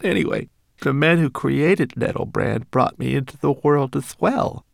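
A man's voice: lively intonation, a little slow, vocal fry, no echo, studio quality. A man voicing a tiny, tearful male homunculus servant who stammers through a remorseful confession. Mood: sorrowful. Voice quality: high, trembling, stammering.

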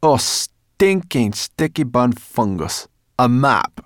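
A man's voice: medium pitch, very animated, takes it slowly, no room sound, studio quality, voice pushed up. A man voicing a cross, grumbling female brownie creature in a storybook reading. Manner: grumbling, scolding.

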